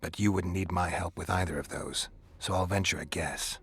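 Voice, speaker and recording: An actor playing a gruff, sardonic monster hunter in a video game, with a deadpan, gravelly delivery. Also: male voice, low voice, normal speed, heavily acted. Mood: dry, wary. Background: music.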